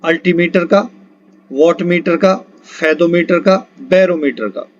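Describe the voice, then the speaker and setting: A man's voice: on the high side, takes it slowly. A man teaching an exam quiz lesson, reading out questions, options and answers in an instructional way and prompting listeners to answer. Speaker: exam-prep physics teacher. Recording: dull lo-fi recording.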